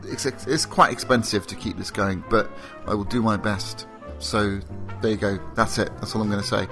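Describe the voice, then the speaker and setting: A man hosting a podcast, talking casually and enthusiastically with lots of fillers, a bit sleepy and croaky. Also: lowish voice, moderate pace, music in the background. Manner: rambling, enthusiastic, frequent fillers. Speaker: podcast host.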